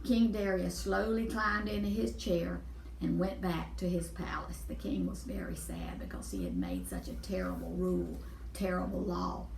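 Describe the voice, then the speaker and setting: A woman's voice: lowish voice, expressive, normal speed, creaky, a little mellow, slight background noise. Echoey woman reading a children's story. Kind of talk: children's Bible story read aloud. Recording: echoey room, distant mic.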